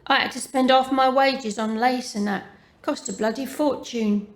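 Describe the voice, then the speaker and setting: An actress playing a crude, chatty brothel worker in an audio drama, familiar and grumbling. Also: female voice, high voice, steady tone, moderate pace, lightly acted. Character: crude, aggrieved, chatty brothel working woman.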